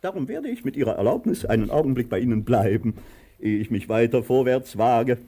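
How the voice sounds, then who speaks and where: An actor in a radio play voicing a pompous, tyrannical landowner who mixes grandiose speeches with barked commands. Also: male voice, mid-range voice, animated, a little fast, warm tone. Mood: grandiose, genial. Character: pompous, tyrannical landowner, theatrical and domineering to his servant.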